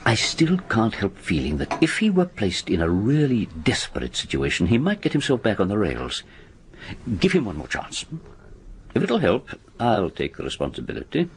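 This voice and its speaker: An actor in a radio drama playing an earnest psychologist, reasoned and gently pleading in manner. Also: male voice, lowish voice, wildly swooping pitch, moderate pace, slight background noise. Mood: earnest, persuasive. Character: earnest male psychologist, persuasive and measured.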